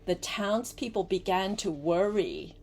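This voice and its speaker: An older woman, a grandmother, reading a storybook aloud in an expressive way and chatting directly to a child as she goes. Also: mid-range voice, a little slow, a little hiss or hum. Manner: animated, addresses the young listener with questions.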